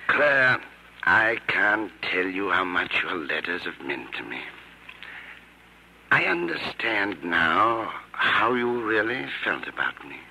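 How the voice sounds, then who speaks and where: Deep, slow, devoted older gentleman. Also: big pitch swings, heavily acted, rough recording. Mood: tender, regretful. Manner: earnest, romantic, tender delivery. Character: devoted, gentle older man, long-lost sweetheart. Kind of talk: 1950s radio drama acting. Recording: old radio broadcast, light noise.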